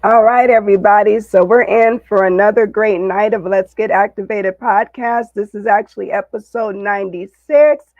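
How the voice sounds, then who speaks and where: A podcast host hypes up the show and then chats easily with the guest, answering with quick affirmations. The recording sounds dull and lo-fi, completely dry with no room echo. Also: medium pitch. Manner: upbeat hype announcer, then relaxed affirmations. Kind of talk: podcast hosting, casual chat.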